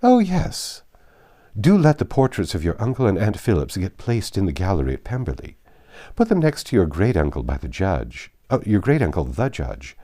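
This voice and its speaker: A man's voice: sits low, wildly swooping pitch, moderate pace, mellow, heavily acted. A man voicing a jealous, mocking, snobbish young society lady in a storybook reading, with an affected, needling manner. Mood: gleefully teasing.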